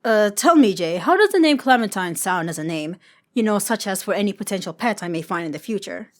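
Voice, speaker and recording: A woman's voice: mid-range voice, expressive, fairly quick, natural voice. A woman voicing a young triton adventurer in a story, who speaks formally and awkwardly, with stammers and eager rambling. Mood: flustered, rambling. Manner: stilted formal phrasing with stammers.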